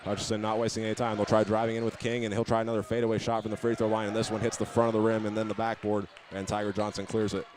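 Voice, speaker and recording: A man's voice: on the low side, monotone, very fast, hardly any pauses, a little mellow, a little hiss or hum. An American man calling a basketball game live as a play-by-play announcer, describing each move as it happens. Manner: running present-tense description of each move.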